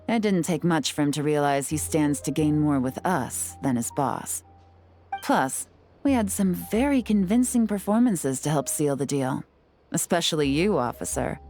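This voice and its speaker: A cool, composed female secret agent from a video game, speaking in a low, calm voice. She is measured and sure of herself and lays out plans crisply. Faint game music sits in the background. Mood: wry. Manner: calm, measured and confident, gives orders crisply.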